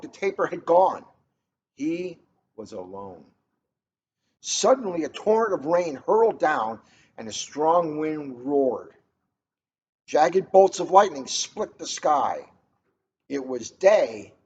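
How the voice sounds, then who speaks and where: A man reading a children's story aloud in an expressive, dramatic way, drawing out key words for emphasis. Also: fairly high pitch, husky. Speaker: storybook reader. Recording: noisy, dull lo-fi recording.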